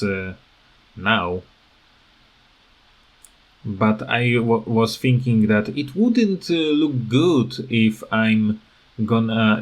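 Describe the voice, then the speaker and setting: A man who speaks English as a second language, with a foreign accent, giving rambling, halting, unscripted commentary as a gaming video creator. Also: on the low side. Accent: non-native English. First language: not English. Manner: hesitant, halting, repeats words.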